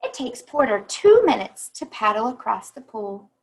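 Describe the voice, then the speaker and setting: A woman reading a children's picture book aloud like a teacher, pausing to think aloud and explain. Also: fairly high pitch, very animated, slightly rough.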